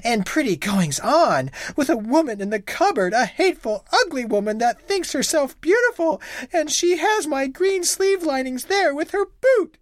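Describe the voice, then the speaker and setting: A woman voicing a fiery, jealous young wife who wails, sobs and scolds in a high, swooping voice. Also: big pitch swings, moderate pace, dry recording, clean studio sound. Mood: indignant. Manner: shrill, sobbing and wailing.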